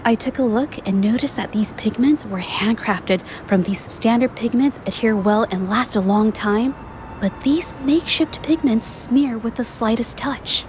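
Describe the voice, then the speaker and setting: A woman voicing a gentle, thoughtful young female painter in game dialogue, speaking softly and carefully. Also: on the high side, slight background noise, lightly acted. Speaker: game character.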